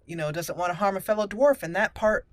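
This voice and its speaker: A woman's voice: on the low side, quick pace, dry recording. A woman hosting a roleplay let's play, chatty and playful, thinking aloud as she goes. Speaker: gaming host.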